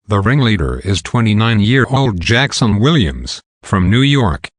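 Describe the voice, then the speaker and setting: A man's voice: lowish voice, sing-song, measured pace, breathy edge, dry recording, full character voice. A man playing a stern, formal announcer reading a scripted emergency broadcast warning.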